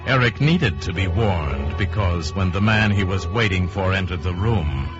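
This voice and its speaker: Deep guy narrating old timey radio thriller, real dramatic and deliberate, muffled sound w music behind. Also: animated. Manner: deliberate, theatrical, suspenseful delivery. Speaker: storyteller narrator.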